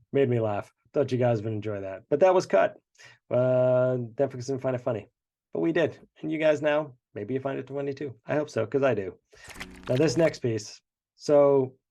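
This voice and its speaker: A man's voice: mid-range voice, dark tone. A congested man, the show's creator, chatting through behind-the-scenes commentary with many fillers. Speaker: show creator.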